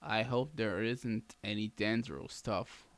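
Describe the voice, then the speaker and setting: A man's voice: lowish voice, slow pace. A man doing casual video game commentary, playfully muttering to himself and echoing game text. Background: loud game music.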